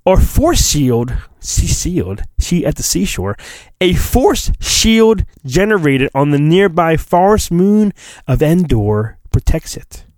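A man reads a storybook aloud with hammy comic drama and very lively pitch. He takes his time and often breaks off for joking, profane asides. The sound is dry and close. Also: slow pace, dry recording. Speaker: podcast host. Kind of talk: comedic dramatic storybook reading.

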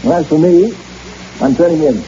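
An actor playing a brusque, pompous, scornful doctor on a hunting trip in an old radio drama. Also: male voice, a little high, normal speed, mellow, faint music behind, lightly acted. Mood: impatient. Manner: dismissive, impatient, pompous. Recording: muffled, hissy vintage radio drama recording.

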